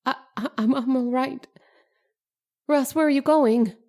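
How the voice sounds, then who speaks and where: Actress as anxious, breathless wife in radio horror. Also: female voice, sits high, unhurried, dark tone. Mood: frightened. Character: anxious, dreamy young wife, easily frightened. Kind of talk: old-time radio horror drama acting.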